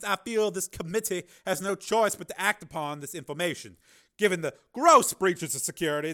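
A man voicing a cold, imperious male senator who speaks in formal, clipped, threatening tones, pitched above his own voice. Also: sharp tone, exaggerated voice.

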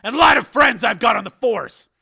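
Man acting a loud, strained, gravelly action hero. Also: extremely high pitch, expressive, fairly quick, pitched above the performer's own voice, exaggerated voice. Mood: tense.

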